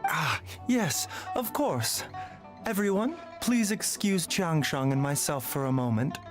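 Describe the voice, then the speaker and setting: Courteous doctor with lilting pitch. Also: male voice, expressive, a little slow, music in the background. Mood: politely evasive. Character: courteous, composed doctor, gently secretive, adult man. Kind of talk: video game voice acting.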